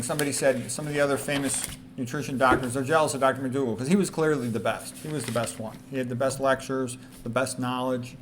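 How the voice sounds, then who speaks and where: A middle-aged man giving an informal lecture at his computer, reading quotes and commenting plainly, repeating points for emphasis. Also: medium pitch. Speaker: doctor presenting lecture. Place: indoors at a computer. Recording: noticeable background noise.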